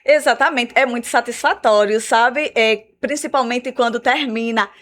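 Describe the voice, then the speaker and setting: A woman with a Brazilian Portuguese accent, an English teacher explaining her school's program in a radio interview, measured and full of "né?" tags. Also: fairly high pitch, a bit bright. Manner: measured, explanatory, repeats words with "né?" fillers.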